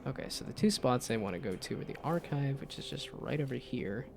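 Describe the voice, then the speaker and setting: A young-sounding man with a slightly nasal voice and a gravelly edge chats in a relaxed, rambling way. His pitch sits in an ordinary middle range, and a faint noise runs under his voice. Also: fairly quick. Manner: laid-back, rambling with restarts. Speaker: streamer. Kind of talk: casual gameplay chat.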